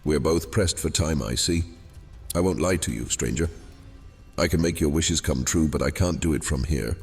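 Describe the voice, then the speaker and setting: A man playing an old, fading captive djinn in a fantasy audio drama, courteous and wheedling, with silky theatrical delivery and hesitant pauses. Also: very deep voice, creaky, a little mellow. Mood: coaxing. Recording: long cavernous reverb, music underneath. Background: music.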